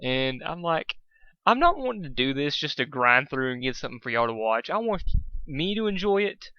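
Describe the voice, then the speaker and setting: A man's voice: fairly high pitch, sing-song, moderate pace, sharp tone, clean tone, no room sound, lo-fi sound. A man, an amateur reader who talks casually and stumbles in a self-deprecating way. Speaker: amateur fan fiction reader. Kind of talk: casual rambling introduction and informal story reading.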